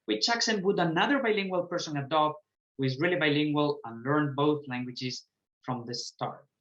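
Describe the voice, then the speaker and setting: Male event host, bright voice, muffled echoey sound, effusive but halting delivery. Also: on the high side. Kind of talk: online talk moderation, reading audience questions.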